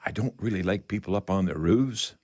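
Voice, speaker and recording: Folksy, halting man on radio. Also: low voice, a little mellow. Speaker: radio call-in host. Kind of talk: home improvement advice chat. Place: radio studio.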